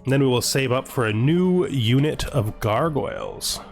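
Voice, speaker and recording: A man's voice: medium pitch, lively intonation, normal speed, creaky. Man thinking aloud over game music. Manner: thinking aloud, deliberating choices. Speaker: video game streamer. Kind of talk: casual gameplay commentary, reading game text aloud.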